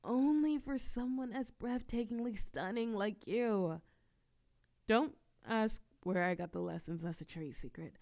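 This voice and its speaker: A woman playing a lovestruck, doting yandere kidnapper who coaxes and comforts in an ASMR roleplay, giggly and unhurried. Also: a little high, a little mellow. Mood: coaxing, tender. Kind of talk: ASMR roleplay audio acting.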